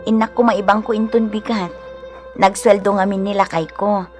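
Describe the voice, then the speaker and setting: A woman acting a kind, generous, devout wife and neighbor, gentle and obliging, in a radio drama. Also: medium pitch, fairly even intonation, moderate pace, faint music behind, lightly acted. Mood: cheerful, generous.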